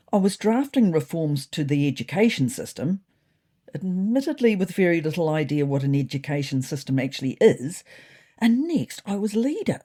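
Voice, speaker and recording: A woman's voice: deep voice, warm tone. A woman voicing a thoughtful, neurotic, self-important male ginger cat who narrates his diary in a pompous, wordy way. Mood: rueful, self-important. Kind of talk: first-person storybook diary narration.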